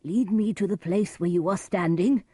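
A woman voicing a blind old nobleman who speaks gravely and emphatically in despair, in a storybook reading. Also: medium pitch, lively intonation, slow pace, dark tone, no room sound. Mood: despairing, solemn.

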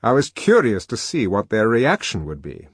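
Male narrator as buoyant male lecturer-poet, audiobook dialogue, swooping intonation, emphatic, amused, slightly husky. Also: lowish voice, moderate pace, no echo, clean studio sound, heavily acted. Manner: animated, emphatic, amused storytelling.

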